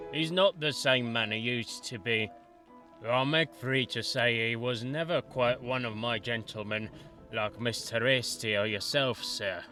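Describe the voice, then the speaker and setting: A man's voice: mid-range voice, close to an everyday voice. A man voicing an old male college manservant who is fussy and gossipy, with rustic grammar and constant deference. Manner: deferential, rustic grammar, says sir a lot.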